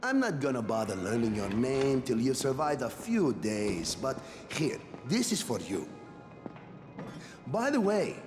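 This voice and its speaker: Cynical, very animated male quartermaster. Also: fairly high pitch, full character voice. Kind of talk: video game voice acting. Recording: echoey hall, long reverb.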